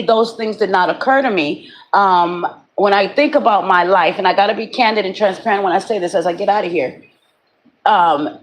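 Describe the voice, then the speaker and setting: A woman in her forties, slightly raspy today, giving a candid, chatty motivational talk to her viewers and often repeating herself. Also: medium pitch, a little hiss or hum, rough recording. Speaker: webcam model vlogger. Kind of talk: casual motivational monologue.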